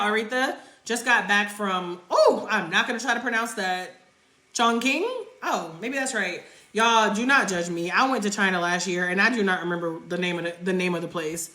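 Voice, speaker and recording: A woman's voice: medium pitch, sharp tone. A woman from North Carolina hosting a casual travel Q&A livestream, chatting loosely with lots of "like" fillers as she answers viewer comments. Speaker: travel creator hosting a live Q&A. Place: indoors at home under a ring light. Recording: echoey room.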